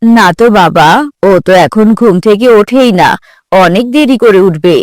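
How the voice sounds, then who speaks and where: A woman playing a gentle, worried mother of a young boy in a children's cartoon. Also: mid-range voice, lively intonation, moderate pace, dry recording, studio quality, natural voice. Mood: resigned, concerned. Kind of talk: children's moral cartoon dialogue.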